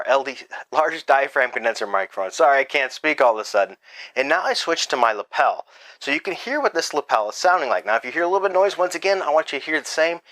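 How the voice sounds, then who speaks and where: A man's voice: on the high side, bright, forceful tone. Chatty man reviewing gear. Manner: chatty, frequent self-corrections and restarts. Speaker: host reviewing a product. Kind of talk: casual product review.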